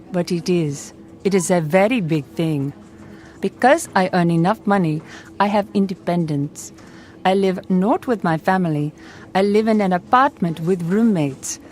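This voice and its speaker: A woman's voice: medium pitch, close to an everyday voice. A woman playing a polite, earnest young IT worker, speaking formally in a scripted role-play interview. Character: young adult female IT worker in Bangalore.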